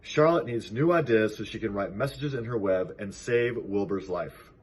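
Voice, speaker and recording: Old storybook sheep read by a man, husky, blunt, firm, persuasive elder. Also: lowish voice, moderate pace. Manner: firm, reasoning.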